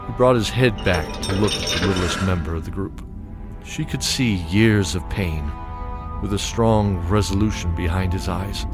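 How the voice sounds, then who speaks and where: Male narrator for an audio drama; low-ish, measured, descriptive, music bed beneath. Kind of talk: scripted fantasy narration.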